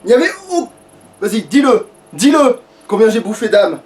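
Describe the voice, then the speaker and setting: Man, high-pitched voice, casual let's play commentary, exasperated swearing, far from the mic. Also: sing-song, normal speed. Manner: foul-mouthed, exasperated ranting. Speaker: let's player, playing the game. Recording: distant mic, slightly noisy.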